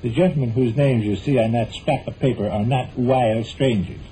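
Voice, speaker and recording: An American actor playing a stubborn, middle-aged husband with a dry, mock-grandiose manner in a 1940s radio comedy. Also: male voice, mid-range voice, moderate pace, mellow, close to an everyday voice. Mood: mock-grand. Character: droll, stubborn, evasive husband in a domestic comedy. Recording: noisy old 1940s radio recording.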